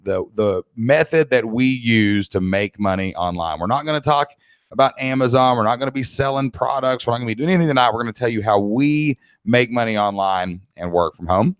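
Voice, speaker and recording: A man's voice: mid-range voice, animated, a little fast, clear, resonant tone, no room sound, studio quality. A man from Kentucky with a Southern accent, presenting a live online business training as a coach in a folksy, emphatic, motivational way. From Kentucky, United States.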